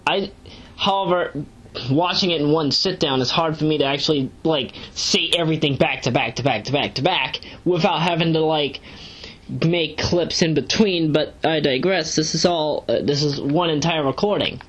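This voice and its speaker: A woman's voice: low-pitched, moderate pace, husky. A woman giving casual, unscripted review commentary as an amateur reviewer, rambling through digressions and emphatic asides. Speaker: amateur web series reviewer. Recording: dull lo-fi home recording.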